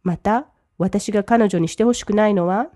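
A woman who teaches English, reading each English line slowly and then translating it briskly. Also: medium pitch, warm tone, no room sound, studio quality. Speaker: language teacher. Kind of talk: bilingual lesson commentary.

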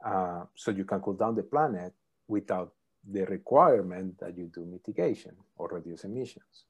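A middle-aged man, a professor answering interview questions thoughtfully, pausing often with ums. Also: low-pitched, husky, muffled recording. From Colombia. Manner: thoughtful, hesitant with many ums. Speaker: professor, podcast guest.

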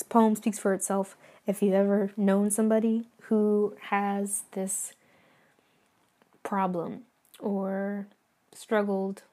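A woman with a hoarse voice talks casually on her podcast and reads her own poem. Her pitch stays level and her tone is mellow and dark. She speaks softly, pausing often and restarting her sentences. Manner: soft, halting, with many fillers and restarts. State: hoarse from singing.

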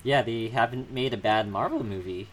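A man co-hosting a podcast, chatting casually about films in low-key, halting, brief remarks. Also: a little hiss or hum. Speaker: podcast co-host.

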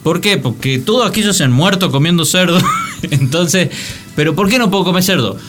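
A man's voice: high voice, animated, brisk, over music. A man with an Argentine accent hosting a casual, joking radio chat, telling things like stories and acting out imagined dialogue. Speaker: radio show host. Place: radio studio.

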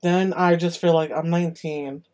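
A nineteen-year-old chatting casually with old friends in a relaxed, unhurried way. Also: medium pitch. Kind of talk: casual chat among friends.

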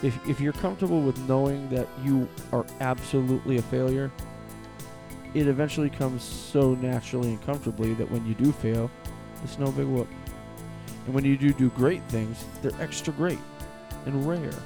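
A man co-hosts a casual podcast, blunt and profane as he pushes things along. His voice is gravelly, with a lot of fry. Guitar music plays clearly behind him. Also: medium pitch, moderate pace. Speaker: podcast co-host. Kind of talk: casual chat.

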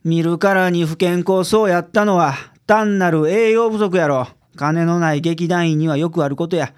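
A man's voice: fairly high pitch, moderate pace, smooth, close to an everyday voice. A man voicing a blunt, terse private detective with a Kansai accent in a radio drama. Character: gruff local private detective, middle-aged man.

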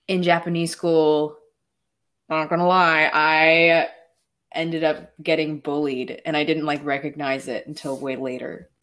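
Young woman, low voice, relaxed conversational podcast talk, reflective personal storytelling. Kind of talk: casual discussion.

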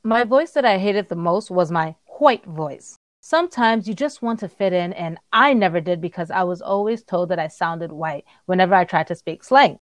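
A woman's voice: fairly low pitch, animated, normal speed, dry recording. Actor guest, woman from Brooklyn, wry storyteller, dry close recording. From Brooklyn, New York. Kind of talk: personal storytelling.

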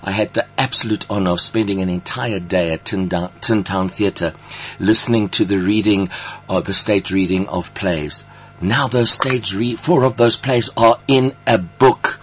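A man's voice: on the low side, normal speed. A man hosting a radio interview, introducing guests in an effusive, complimentary manner. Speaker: radio host.

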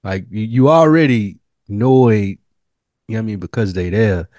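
A young man with a fairly low voice gives blunt, slangy takes in a relaxed, easygoing delivery. The sound is dry and close, with almost no room echo. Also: normal speed. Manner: laid-back, slangy, blunt opinions. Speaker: podcast co-host. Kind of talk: casual chat.

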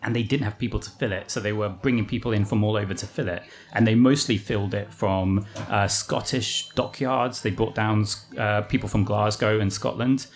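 A man's voice: fairly low pitch, steady tone, normal speed, hardly any pauses. A man with an English accent, a guest telling his family story in a chatty, rambling way. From England. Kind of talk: casual interview answers.

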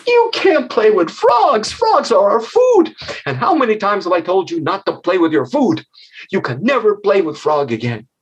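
An indignant mother snake played by a man in a storybook tale. She scolds emphatically and strictly in a raised, swooping, slightly husky voice. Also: sits high, sing-song, moderate pace, highs cut off, pitched above the performer's own voice, full character voice.

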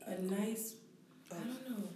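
A woman's voice: a little high, takes it slowly, dark tone, far from the mic, slight background noise. A woman from Brooklyn, hesitant and giggly, introducing herself in a group. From Brooklyn, New York. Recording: echoey room, off mic.

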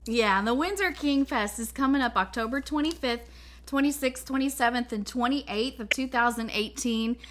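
A woman's voice: fairly high pitch, normal speed, a little hiss or hum. A woman co-hosting a podcast, delivering promotional announcements.

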